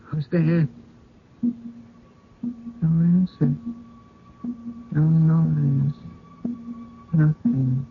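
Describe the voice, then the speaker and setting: A man playing a delirious, haunted husband in an old-time radio drama, speaking in halting, trance-like broken phrases. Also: fairly high pitch, fairly even intonation, gravelly, slightly rough, exaggerated voice. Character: delirious, haunted husband, dazed and dread-filled. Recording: muffled old radio recording with music.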